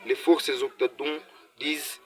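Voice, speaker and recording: A man's voice: a little high, flat delivery, moderate pace. A man from Congo delivering a passionate motivational monologue to his viewers, full of repeated words and restarts. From Congo (DRC). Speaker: video creator.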